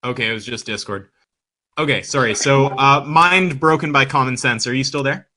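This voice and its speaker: A man's voice: medium pitch, normal speed, bright, forceful tone. A man hosting a casual online voice-chat debate, commenting in a mocking manner. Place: online voice call.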